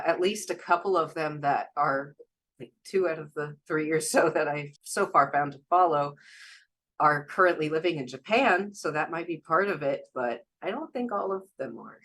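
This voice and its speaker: Woman, low voice, chatty and rambling, casual interview guest, former language teacher. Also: moderate pace, a bit bright. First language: English. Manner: chatty, rambling, with frequent laughs.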